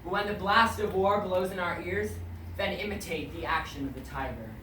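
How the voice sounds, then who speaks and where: A man's voice: high voice, moderate pace, lightly acted. An actor playing a warrior king urging his soldiers into battle, declaiming a Shakespeare monologue in a commanding, projected delivery. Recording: distant mic, echoey, clearly noisy ambience.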